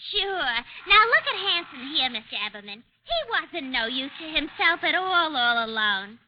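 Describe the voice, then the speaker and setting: An actress playing a bossy, well-meaning working-class wife, folksy and gushing, in a 1940s radio drama. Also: female voice, high-pitched, big pitch swings, normal speed. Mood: scolding, cheery. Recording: muffled, slightly noisy old radio.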